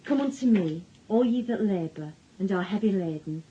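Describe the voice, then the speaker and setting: A woman's voice: mid-range voice, lively intonation, moderate pace, dark tone, breathy edge, dull audio. An actress playing a worried, middle-aged Northern English mother with a dry, sardonic manner in a naturalistic radio drama. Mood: wry. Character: worried, wry Northern mother of a suspected lad.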